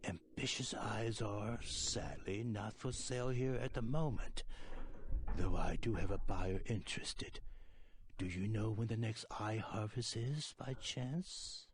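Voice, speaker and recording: An actor playing a creepy, cryptic merchant of macabre curios in a video game, speaking slowly and deliberately in riddles. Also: male voice, on the low side, natural voice. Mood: sinister, cryptic. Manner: slow, deliberate, riddling speech.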